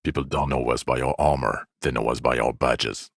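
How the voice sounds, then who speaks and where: An actor playing an exasperated, foul-mouthed police partner in a video game, world-weary, sarcastic and contemptuous in a gravelly voice. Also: male voice, steady tone, no room sound, studio quality, exaggerated voice. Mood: sarcastic, bitter. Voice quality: gravelly, low. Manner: sardonic, weary, contemptuous, deliberate scolding. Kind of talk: video game character voice acting.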